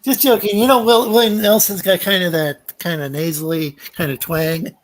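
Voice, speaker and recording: A man on a podcast, chatting casually, with halting speech full of restarts and "like". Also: high voice, steady tone. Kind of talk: casual chat.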